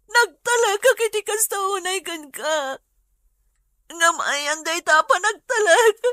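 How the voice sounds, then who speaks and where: A woman's voice: extremely high pitch, expressive, unhurried, pushed and bright, dry recording, clean studio sound, full character voice. A woman playing a betrayed, heartbroken wife in an Ilocano radio drama, accusing and emotional, with a Filipino accent. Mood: tearful anger. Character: betrayed, heartbroken young wife confronting her cheating husband.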